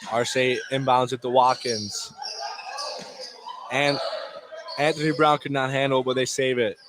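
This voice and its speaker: A man's voice: medium pitch, fairly even intonation, unhurried. Man calling basketball over gym noise. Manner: clipped phrases calling action as it unfolds. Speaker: play-by-play sports commentator. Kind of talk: live high school basketball radio commentary. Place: indoor basketball gym, courtside.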